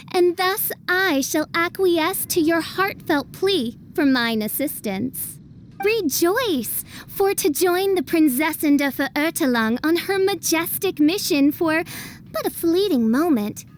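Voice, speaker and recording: A woman voicing a theatrical young girl posing as a dark princess in a video game, speaking in grandiose, archaic, regal style. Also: extremely high pitch, slight background noise. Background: game music.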